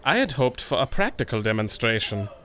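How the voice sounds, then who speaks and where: An actor playing a suave, flattering flying ace, grandiose and formal, in a pulp audio drama. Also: male voice, mid-range voice, a little fast. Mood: suave, probing. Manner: smooth-talking, grandiose, formal.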